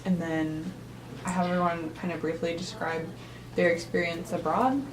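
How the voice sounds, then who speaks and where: A woman's voice: fairly low pitch, moderate pace. A woman interviewer giving upbeat, encouraging instructions, with lots of fillers. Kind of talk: casual interview prep. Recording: roomy, distant mic, noticeable noise.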